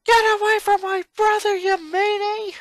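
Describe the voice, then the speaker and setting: Story character: scrappy little girl, man performing, pushed-up pitch, brash protective sister, dry recording. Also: little pitch movement, unhurried, fairly bright tone, no room sound, full character voice. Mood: angry, protective.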